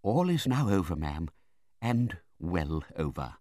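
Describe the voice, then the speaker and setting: A man's voice: low voice, very animated, takes it slowly, a little mellow, no room sound, studio quality, exaggerated voice. A man voicing a meek, polite country doctor in an audiobook of a classic novel, hesitant and deferential. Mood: meek, soothing. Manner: hesitant, deferential, repeats himself.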